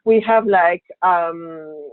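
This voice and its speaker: A woman's voice: fairly low pitch, extremely slow, no room sound. A woman speaking for a cruise line on a video call, upbeat and enthusiastic. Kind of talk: casual webinar talk. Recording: tinny phone-like call audio.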